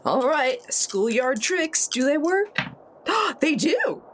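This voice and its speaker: A woman playing a tabletop roleplaying game, chatting casually and playfully thinking her moves out loud. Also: high voice, very animated, slow.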